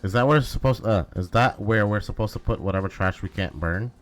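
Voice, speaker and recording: A man's voice: lowish voice, brisk, close to an everyday voice. A man voicing an earnest, uneasy teenage boy investigator in a game, hesitant and questioning. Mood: pondering. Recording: music behind the voice.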